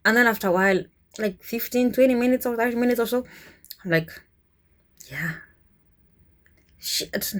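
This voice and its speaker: Kenyan woman telling a story, animated. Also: medium pitch. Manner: animated, chuckles often, conversational. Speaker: vlogger, cruise ship worker. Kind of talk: casual storytelling to camera.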